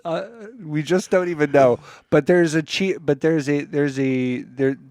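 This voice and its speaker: A man co-hosting a comedy podcast, explaining things in a halting, mock-scholarly way amid casual banter. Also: on the high side, steady tone, a little mellow, no room sound, studio quality.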